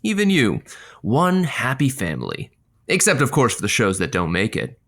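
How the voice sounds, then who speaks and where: Smug, forceful radio veterinarian. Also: male voice, medium pitch, wildly swooping pitch, moderate pace, full character voice. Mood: gloating, falsely chummy. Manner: smooth-talking, self-satisfied, condescending. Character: smug, slick veterinarian radio host, boss's son. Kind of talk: scripted audio comedy acting.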